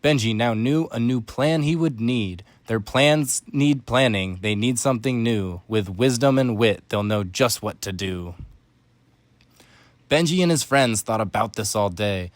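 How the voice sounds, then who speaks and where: A man's voice: medium pitch, unhurried, no echo. Man reading a rhyming children's storybook, dry recording. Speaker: storybook narrator. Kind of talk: rhyming children's read-aloud narration.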